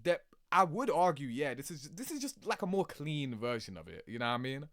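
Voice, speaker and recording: A man's voice: a little high, very animated, fairly quick, a bit bright, no room sound, clean studio sound. A young man giving casual, slangy reaction commentary as a gaming host, with swearing and verbal tags. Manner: slangy, swearing, repeats "you know what I mean".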